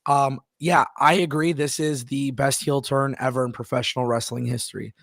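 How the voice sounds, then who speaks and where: Guy hosting a wrestling livestream, gravelly voice, dry close mic, keeps stressing his points. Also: medium pitch, fairly even intonation, normal speed, a bit bright, no room sound. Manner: emphatic, repeats words, reads viewer messages aloud. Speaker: wrestling show host. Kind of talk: casual live-stream chat.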